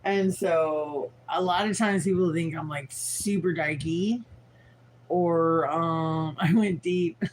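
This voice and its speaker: A tired woman in her forties with a raspy voice, rambling frankly and swearing as she chats on a livestream. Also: lowish voice, slow pace, a little hiss or hum. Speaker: livestream host. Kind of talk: casual livestream chat.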